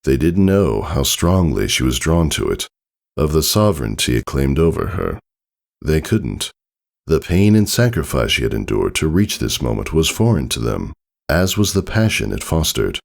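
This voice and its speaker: A man's voice: rumbling low, sing-song, normal speed, gravelly, a little mellow. A man reading a story aloud as narrator, in a scripted storybook style. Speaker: narrator, fan fiction reader.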